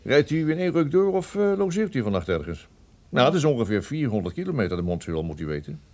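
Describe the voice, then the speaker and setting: A man's voice: mid-range voice, big pitch swings, fast, a little mellow, natural voice. An actor playing a chatty, helpful travelling businessman in a radio play, folksy and emphatic in his way of talking. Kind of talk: radio drama dialogue.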